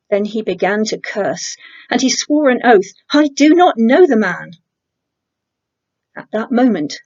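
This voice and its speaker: A middle-aged woman reading a Gospel passage aloud in a measured, expressive way, on a home webcam mic. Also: on the high side. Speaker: church congregation member reading scripture. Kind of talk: scripture reading, dramatic narration of a Gospel. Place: indoor room at home with bookshelves. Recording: dull lo-fi home webcam sound.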